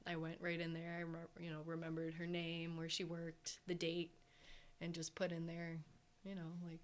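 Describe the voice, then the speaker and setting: A woman co-hosting a podcast in casual chat, talking in a rambling, animated way and acting out what others said. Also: on the low side, normal speed. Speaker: podcast co-host.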